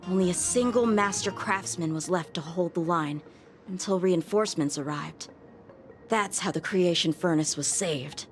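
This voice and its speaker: A woman's voice: fairly low pitch, moderate pace, close to an everyday voice. An actress playing an earnest, easily exasperated young swordsman in a video game. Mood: grave. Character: indignant, earnest young man, a proud sword trainee. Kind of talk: scripted video game dialogue. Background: faint game music.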